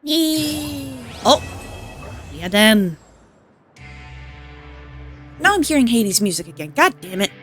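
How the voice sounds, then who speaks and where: Casual, higher-voiced woman reacting to a game. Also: sing-song, slow pace, long pauses, a bit bright, soft background music. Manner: informal, reactive. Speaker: gaming streamer. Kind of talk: casual gameplay reaction commentary.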